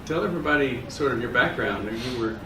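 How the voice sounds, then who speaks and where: A man's voice: medium pitch, lively intonation, fast talker. Laughing male interviewer in an echoey room. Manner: friendly, laughs often, hesitant fillers. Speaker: interviewer, club member. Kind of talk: casual interview. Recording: echoey room, distant mic, noisy.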